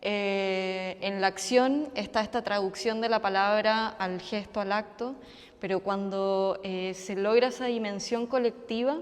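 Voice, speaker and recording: A woman artist speaking on a panel in a reflective way, with fillers and pauses. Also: medium pitch, fairly even intonation, clean tone, long reverb tail. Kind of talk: public panel discussion. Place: community center hall.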